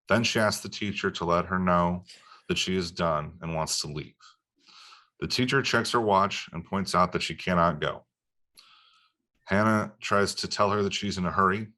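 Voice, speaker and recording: Low-voiced man reading stage directions. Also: bright, forceful tone. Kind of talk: scripted narration at a table read.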